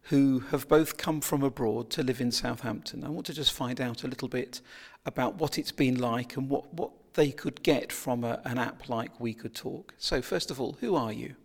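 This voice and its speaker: A man's voice: mid-range voice, normal speed, gravelly, hardly any pauses. A man interviewing two international students, asking short, structured questions.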